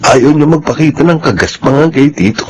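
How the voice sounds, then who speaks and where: A man's voice: fairly high pitch, animated, moderate pace, a little mellow, slight background noise, lightly acted, low-quality recording. An actor playing a hot-tempered, arrogant young rich man who schemes as an obsessive suitor in a Filipino radio drama. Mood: bitter, plotting. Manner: menacing, arrogant.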